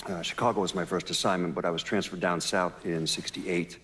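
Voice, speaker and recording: An actor playing an elderly retired male FBI official in a game, giving measured, halting documentary interview testimony. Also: deep voice, steady tone, a bit bright, a little hiss or hum. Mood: measured recollection. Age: 60s or older. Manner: hesitant, halting, matter-of-fact.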